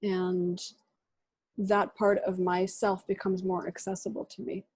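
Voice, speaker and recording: A woman poet whose first language is Russian, answering questions thoughtfully over a video call and pausing often. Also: medium pitch, level tone, darkish tone, breathy edge, no room sound. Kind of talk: reflective answers in an online reading Q&A.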